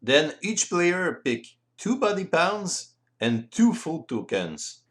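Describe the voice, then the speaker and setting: A man with a French accent, a native French speaker, explaining board game rules in careful, deliberate non-native English as an instructional voice-over. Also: on the high side, big pitch swings, a bit bright.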